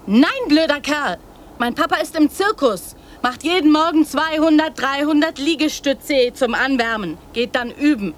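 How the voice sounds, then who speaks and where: High-voiced, brazen little girl played by a woman. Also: moderate pace, natural voice. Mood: matter-of-fact, defiant. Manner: blunt, childlike short sentences. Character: precocious, brazen young girl, talking of her parents. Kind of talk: radio drama dialogue.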